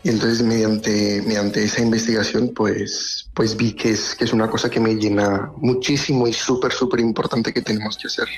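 A young man from Colombia with a faint Colombian accent softened by years in Spain, an earnest, hesitant medical researcher in a radio interview. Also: lowish voice, flat delivery, normal speed, hardly any pauses, faint music behind.

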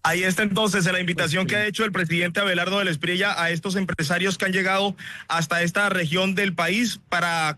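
A man from La Guajira, Colombia, delivering a live news report from the field in a clear, formal manner. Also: high voice, sharp tone, no echo.

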